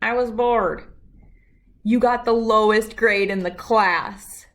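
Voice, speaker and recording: A woman's voice: medium pitch, slow pace, a bit bright. A woman acts out an exasperated grown-up from a children's storybook. The delivery is stern and emphatic, exclaiming in disbelief at a young genius. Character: stern, exasperated father and older doubters of a boy genius.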